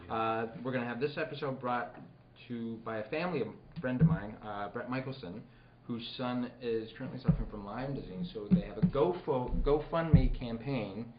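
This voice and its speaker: Male podcast host, medium pitch, conversational announcer, slightly muffled and echoey with light background noise. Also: moderate pace, few pauses. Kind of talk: casual podcast hosting. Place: indoor studio. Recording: roomy, dull, faint background noise.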